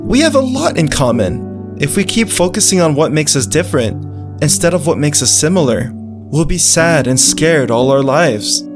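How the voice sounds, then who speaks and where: Someone voicing an animated, naive pet dog. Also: mid-range voice, normal speed, over music, natural voice. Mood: earnest, hopeful. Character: earnest, childlike, food-loving male pet dog narrator. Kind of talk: first-person visual novel narration with character dialogue.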